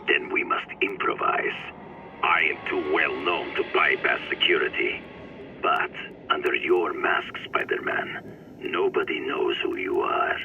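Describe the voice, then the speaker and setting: An actor playing an older male mentor who guides the hero over the radio, calm and commanding. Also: extremely low pitch, unhurried, vocal fry, phone-quality sound, over music, full character voice.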